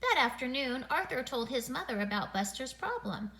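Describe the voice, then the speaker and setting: A woman's voice: high voice, very animated, normal speed, pushed and bright. A woman reading a children's picture book aloud, expressively, as a storytime host who acts out the dialogue.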